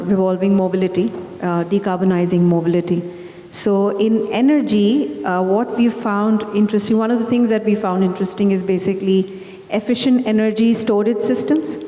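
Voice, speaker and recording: A woman investor talks through her thinking on a panel, halting often with fillers. Her voice is mellow and soft-edged. The sound carries the long echo of a big hall. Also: medium pitch. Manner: hesitant, many fillers. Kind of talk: panel discussion.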